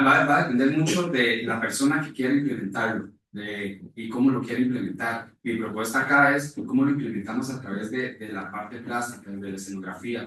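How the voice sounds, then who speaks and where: A man's voice: fairly low pitch, bright, forceful tone. A man explaining something spontaneously as a conference panelist, hesitant and thinking aloud. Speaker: conference panelist presenting on stage design. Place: reverberant indoor conference room. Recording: very echoey room, distant mic, faint noise.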